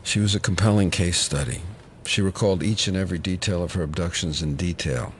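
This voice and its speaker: An actor playing a troubled federal agent in an audio drama, confessing in a halting, subdued way with guilt and wry defensiveness. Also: male voice, low voice, slight background noise, lightly acted. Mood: guilty, haunted. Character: troubled male FBI agent, haunted and guilty, wryly defensive. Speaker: FBI special agent.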